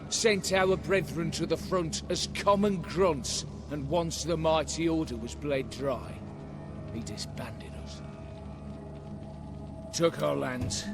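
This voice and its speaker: An actor playing a proud, embittered rogue knight in a video game, speaking in a solemn, aggrieved, oratorical way. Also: male voice, a little high.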